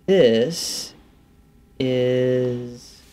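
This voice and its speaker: A man in his thirties giving casual gameplay commentary, halting and muttering to himself as he names his discoveries. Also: medium pitch, noisy background. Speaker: gaming video host. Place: small indoor room at a computer.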